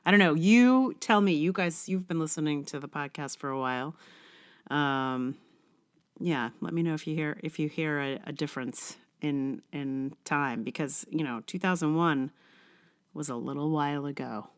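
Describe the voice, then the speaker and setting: A middle-aged woman hosting a podcast, chatting casually in a halting, informal and self-deprecating way. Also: deep voice, clean tone. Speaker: podcast host. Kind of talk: casual podcast outro chat.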